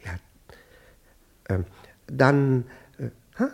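An actor playing a stout, middle-aged husband in a comic radio play, nervous, fussily polite and easily indignant, stammering and repeating words. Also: male voice, mid-range voice, extremely slow, creaky, slightly rough, full character voice. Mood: awkward, nervous.

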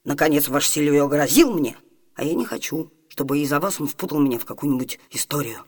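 Disguised noblewoman, slightly husky, earnest, self-possessed, formal stage diction, radio play. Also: low-pitched, expressive, brisk. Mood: solemn pleading. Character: self-possessed young Italian noblewoman disguised as her dead brother. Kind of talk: Soviet radio theatre comedy acting.

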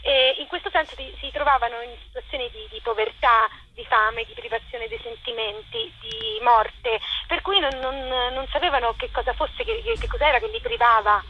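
A woman being interviewed as an actress and writer, explaining thoughtfully and haltingly as she searches for words, over a lo-fi phone-like line. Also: a little high, slightly rough. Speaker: actress and co-author interviewed about her play. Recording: muffled lo-fi phone-like line with noise.

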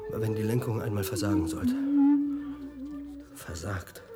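An actor playing a troubled, haunted man in a radio play, speaking in a halting, intense way. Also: on the low side, heavy background noise, lightly acted. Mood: agitated.

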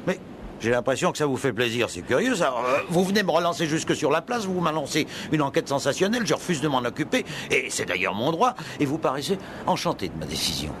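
Casting: exasperated vacationing police inspector, quick and curt, vintage radio play with noisy sound. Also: male voice, very animated. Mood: incredulous. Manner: brusque, impatient, incredulous. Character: exasperated, gruff police inspector on holiday, male. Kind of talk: 1960s French-language comic radio play acting. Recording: noisy, rumbling old radio recording.

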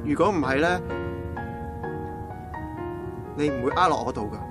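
Actor as animated, goofy Hong Kong guy, high-pitched. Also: rapid-fire, natural voice. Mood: tender, joking. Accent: Hong Kong Cantonese. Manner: joking, animated. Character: goofy, lovelorn 27-year-old male friend, clownishly comforting. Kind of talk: radio drama acting. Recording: music behind the dialogue.

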